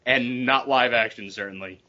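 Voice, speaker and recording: Man, podcast host, mid-pitched voice, opinionated, casual swearing, dull lo-fi sound. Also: moderate pace, pushed and bright. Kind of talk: casual panel discussion.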